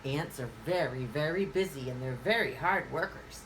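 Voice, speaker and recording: A woman hosting a children's story time, reading interactively in a sing-song way and pausing after questions as if for answers. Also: sits low, noisy background. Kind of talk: interactive picture-book reading for young children.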